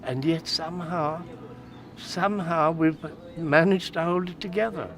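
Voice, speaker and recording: A man's voice: a little high, measured pace, faint music behind. A man speaking earnestly and emphatically, building his point with repeated phrases. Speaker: interviewee in documentary clip. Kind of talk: reflective testimony. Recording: loud street noise outdoors.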